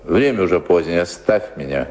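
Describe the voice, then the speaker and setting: Low-voiced, languid weary lord. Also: male voice, expressive, normal speed, a little mellow. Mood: bored, dismissive. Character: weary, bored lord of a snowbound manor. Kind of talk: theatrical audio drama acting. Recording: very noisy old radio play recording.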